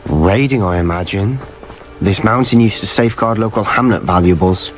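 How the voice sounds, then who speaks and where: A man's voice: lowish voice, moderate pace, faint music behind, lightly acted. Man acting a hot-headed teenage wizard schoolboy. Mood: urgent, wary. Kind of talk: video game voice acting.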